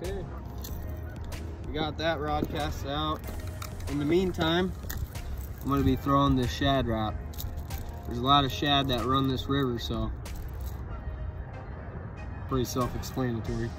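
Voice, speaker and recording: A man's voice: medium pitch, steady tone, measured pace, pauses often, music in the background, low-quality recording. An American man chatting casually to viewers in a folksy, plain-spoken way as he presents an outdoor fishing vlog. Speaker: fishing vlogger. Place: outdoors by a river.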